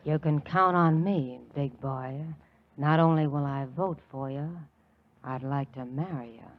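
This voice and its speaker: A brassy flirting coed. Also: female voice, sits low, unhurried. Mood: sultry. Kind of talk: 1940s radio comedy acting. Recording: tinny 1940s radio recording.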